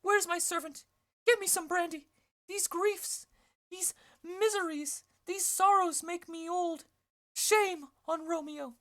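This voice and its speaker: A woman voicing an old female nurse with a shrill, wailing voice in a play reading. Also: fairly even intonation, slow pace, a bit bright, full character voice.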